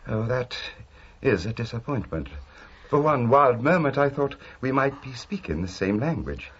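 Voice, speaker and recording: An actor playing a dithering, scholarly old British eccentric, wordy and stammering, in a radio drama. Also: male voice, medium pitch, very animated, moderate pace, fairly bright tone, muffled recording, slight background noise. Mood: rapt, wondering. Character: excitable, scholarly old eccentric obsessed with spiritualism.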